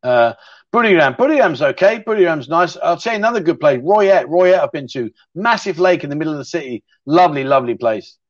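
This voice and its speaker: Man, middle-aged, livestream host, fairly high voice, dry dull sound. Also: lively intonation, brisk, no echo. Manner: chatty, rambling, matey. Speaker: livestream chat show host. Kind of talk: casual livestream chat reading viewer questions.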